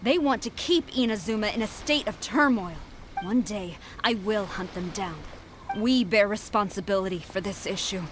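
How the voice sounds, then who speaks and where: A woman's voice: medium pitch, moderate pace, a little hiss or hum, close to an everyday voice. An actress voicing a strict, duty-bound female military commander in a game cutscene, speaking formally and firmly.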